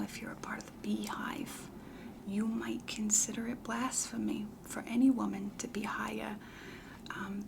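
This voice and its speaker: A woman playing a self-assured, chatty matchmaker in a comedy ASMR roleplay, whispering softly in a deliberate, confiding, matter-of-fact way. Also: medium pitch, background noise. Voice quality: breathy whisper with heavy creak. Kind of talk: soft-spoken whispered ASMR roleplay questionnaire.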